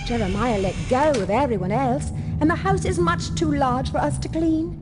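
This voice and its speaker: An actress playing an anxious, apologetic servant of a gloomy manor in a video game, polite, worried and expressive. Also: female voice, sits high, moderate pace, very noisy, lightly acted. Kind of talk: video game cutscene dialogue. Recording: loud background music. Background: loud game soundtrack music.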